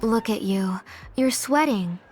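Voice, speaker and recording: A gentle mother from a video game. Her voice sits a little high and fusses with care. Music is clearly audible behind her. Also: expressive, slow. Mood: fond, fussing. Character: gentle, caring mother of a young girl. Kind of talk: video game character acting.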